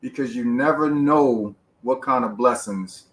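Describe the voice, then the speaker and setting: A man's voice: medium pitch, unhurried, a bit bright, slight background noise. A man hosting a podcast interview, giving emphatic advice and circling back to the same points. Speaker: podcast host. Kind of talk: conversational interview.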